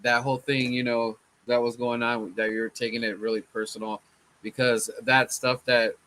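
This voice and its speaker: A man hosting a casual sports fan chat, his voice a little hoarse from chanting, laid-back and often saying "man". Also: mid-range voice, little pitch movement, moderate pace, sharp tone, a little hiss or hum. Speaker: fan channel host.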